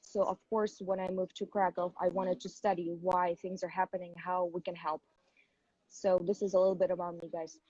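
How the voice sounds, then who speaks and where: Earnest young Ukrainian woman, husky. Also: fairly low pitch, little pitch movement, moderate pace, darkish tone, dry recording, muffled recording. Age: young adult. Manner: earnest, explains step by step. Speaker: activist guest. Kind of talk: Instagram Live interview.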